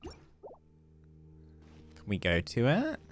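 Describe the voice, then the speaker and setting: A man's voice: mid-range voice, wildly swooping pitch, very slow. A man giving casual live commentary on a game as he plays, musing aloud as he works things out. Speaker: game livestreamer. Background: game music.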